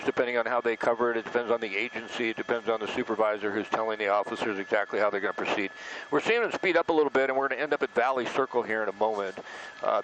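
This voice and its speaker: A quick-talking man with a mid-pitched voice reports live from a news helicopter. He explains and speculates as he goes, over a light layer of aircraft noise. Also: fairly bright tone, poor audio. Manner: explanatory, speculating as events unfold. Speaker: helicopter news reporter covering a police pursuit. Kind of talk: live breaking-news commentary.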